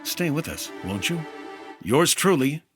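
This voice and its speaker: Casting: male actor as earnest private investigator, animated yet dry, narrating his case like classic fifties radio drama. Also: a little high, unhurried, over music. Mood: announcing. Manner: clipped, dry detective narration. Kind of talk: 1950s radio detective acting.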